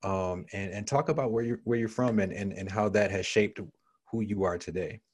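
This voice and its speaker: A man's voice: fairly low pitch, moderate pace, a little mellow, husky. A man hosting a podcast interview, asking long, winding questions full of restarts and repeated words. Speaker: podcast host. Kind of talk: conversational interview.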